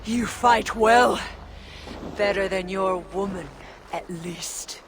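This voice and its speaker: A woman's voice: mid-range voice, slow, a little hiss or hum, natural voice. A woman playing a mocking, threatening female fire giant villain in a video game, speaking grandiosely. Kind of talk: dramatic video game acting.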